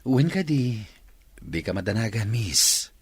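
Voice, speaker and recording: A married man with a roving eye in a radio drama. His low voice swings widely in pitch, slick, coaxing and quick to change temper. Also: sing-song, breathy edge, no room sound, full character voice. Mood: friendly, coaxing. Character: smooth-talking married man, flirtatious, evasive womanizer. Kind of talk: radio drama acting in Ilocano.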